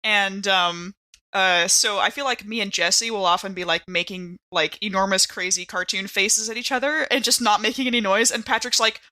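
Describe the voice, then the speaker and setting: A woman's voice: medium pitch, fairly even intonation, normal speed, bright, forceful tone, dry recording. A woman chatting casually as a player on a tabletop game podcast. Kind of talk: casual chat.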